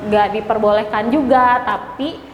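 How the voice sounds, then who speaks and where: Chatty twenties woman, higher voice, roomy echo. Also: steady tone, normal speed, dull audio, slight background noise. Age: 20s. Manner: chatty, giggly, hesitant. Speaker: interviewee. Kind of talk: casual interview answers. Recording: echoey room with long reverb.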